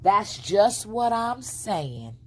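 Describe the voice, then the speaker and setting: A woman's voice: medium pitch, sing-song, very slow, darkish tone, pitched above the performer's own voice, heavily acted. A woman voicing a sassy, fearless little country girl in a storybook, matter-of-fact, speaking folksy rural dialect. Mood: skeptical, unimpressed.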